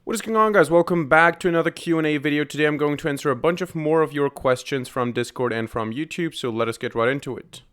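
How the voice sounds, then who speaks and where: A man, a programming YouTuber answering viewer questions to camera in an explaining way, often pausing on "uh". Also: a little high, a bit bright. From Austria. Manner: explanatory, frequent "uh" fillers. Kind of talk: Q&A talk to camera.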